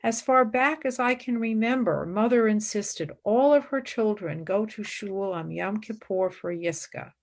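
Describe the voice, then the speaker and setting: A woman's voice: medium pitch, expressive, measured pace, few pauses. Woman acting an intense young intellectual, widely swinging intonation. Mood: firm, thoughtful. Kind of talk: radio play acting.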